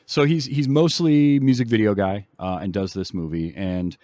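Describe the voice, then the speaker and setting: A man hosting a film podcast, casually explaining background in a halting, explanatory way. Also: fairly low pitch, steady tone, dark tone, no room sound, clean studio sound.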